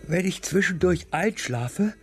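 A man's voice: high-pitched, animated, a little slow, husky, soft background music, heavily acted. An actor playing a whimsical, sleepy middle-aged father who banters hesitantly in a children's radio play. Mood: drowsy, playful.

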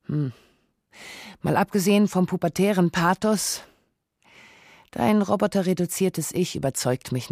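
A woman playing a cool, analytical sparring partner in a radio drama, measured, probing and logical. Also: fairly low pitch, darkish tone, no echo, studio quality. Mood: skeptical. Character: cool, analytical female sparring partner who questions and objects. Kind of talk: scripted radio drama dialogue.

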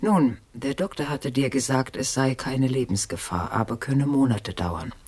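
Wry, husky ghost wife. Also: female voice, extremely low pitch, moderate pace, darkish tone. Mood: quietly accusing. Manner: dry, sardonic, wounded. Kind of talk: radio play dialogue.

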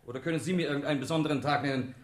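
A man's voice: fairly high pitch, fairly even intonation, rapid-fire, crowd noise, natural voice. An actor playing a slight, put-upon male police doctor who grumbles wryly in a German radio crime drama. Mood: exasperated. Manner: grumbling, wry. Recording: noisy, echoey room sound with clicks.

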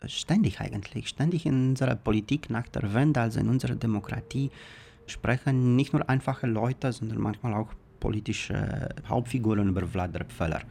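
A man's voice: medium pitch, normal speed, vocal fry, a little mellow, a little hiss or hum. A man from Romania with a Romanian accent, explaining things as an academic in an interview, speaking a second language.

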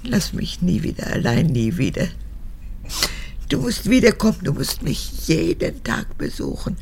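A frail, spiteful old mother in a radio play. Her voice is low and gravelly, swinging wildly from whisper to outburst. She wheedles like a child and clings, with a bitter, unrepentant edge. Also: huge pitch swings, measured pace, a little mellow, full character voice. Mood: clinging, plaintive. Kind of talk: radio drama acting.

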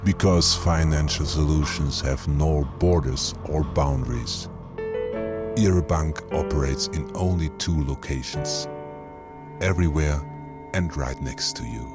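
A man's voice: very deep voice, slow, over loud music, full character voice. A man playing the solemn announcer of a spoof bank commercial, reading scripted English and German lines.

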